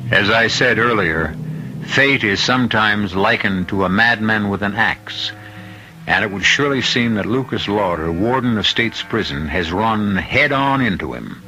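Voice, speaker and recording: A man narrating a radio mystery as its host, grave and ominous, with deliberate, suspenseful phrasing. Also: on the low side, animated, sharp tone, low-quality recording. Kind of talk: dramatic scripted radio narration. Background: music.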